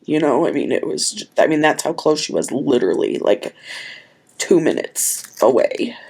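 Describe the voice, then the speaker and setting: A woman vlogging about her planner, speaking tearfully and haltingly, repeating words, with a cold and sniffling. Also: deep voice, sing-song, a little mellow. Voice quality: husky, gravelly. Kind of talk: tearful personal storytelling to camera.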